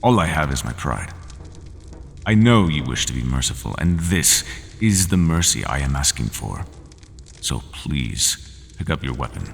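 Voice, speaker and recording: A resigned, half-human horned monster from an audio roleplay speaks in an extremely deep, gravelly voice. His lines are formal and unhurried, with no contractions, and his pitch swings widely. The voice rings out in a long hall reverb over faint ambient noise. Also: sing-song, heavily acted. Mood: pleading. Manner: slow, formal speech without contractions.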